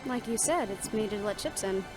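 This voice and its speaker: A woman playing a supportive, excitable young woman in a fantasy audio roleplay, with an expressive, conversational delivery. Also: medium pitch, normal speed, over music, lightly acted, poor audio. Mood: wistful. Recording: faint ambient vehicle noise behind.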